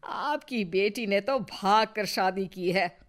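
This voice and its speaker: A woman's voice: medium pitch, takes it slowly, lightly acted. A woman playing a bitter, suspicious older Indian mother-in-law who taunts and needles in a Hindi moral story. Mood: scornful boasting. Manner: sarcastic, needling.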